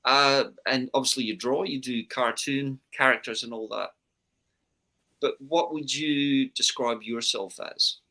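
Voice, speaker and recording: A man's voice: medium pitch, steady tone, measured pace, pushed and bright. A man with an Australian accent with a hint of Scottish, hosting an easygoing, chatty stream. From Scotland. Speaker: host. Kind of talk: casual chat.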